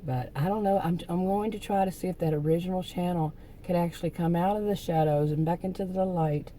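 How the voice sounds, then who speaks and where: A woman hosting her own vlog, speaking with a very dark, mellow tone that sits a little low. She talks loosely, stopping and restarting her thoughts. A faint hiss sits under the recording. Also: rough recording. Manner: rambling, halting restarts, occasional laughs. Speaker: channel host. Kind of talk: casual talking-to-camera vlog.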